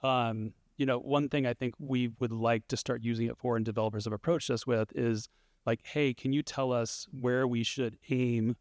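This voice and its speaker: A man speaking on a conference stage in a middle-pitched, everyday voice. He talks loosely and conversationally, restarting sentences and filling gaps with ums. The sound is close and dry, with no room echo. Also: fairly even intonation, normal speed, darkish tone. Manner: conversational, many fillers and restarts. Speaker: panelist, studio founder. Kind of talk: conference panel answer.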